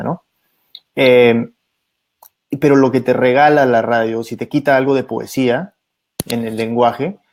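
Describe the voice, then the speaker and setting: A middle-aged man from Peru, raised abroad, speaking thoughtfully as a radio producer guest, with frequent pauses and restarts. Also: mid-range voice, a little mellow. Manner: thoughtful, many fillers and restarts. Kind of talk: reflective conversation.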